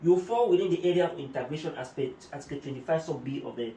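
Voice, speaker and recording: Man, slightly high voice, adviser explaining procedures, roomy echo, distant mic. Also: expressive, fast talker, dark tone, slight background noise. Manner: explains procedures step by step, uses German terms. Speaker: migration adviser. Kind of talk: explanatory talk-show discussion. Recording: echoey room, mic at a distance.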